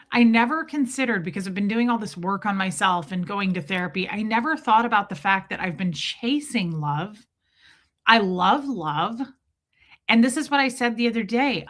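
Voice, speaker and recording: Bright-voiced Midwestern American woman, candid and chatty. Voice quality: voice deeper than usual from lack of sleep. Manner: candid, chatty, breaks off to greet viewers. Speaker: livestream host. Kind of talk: casual livestream chat with viewers.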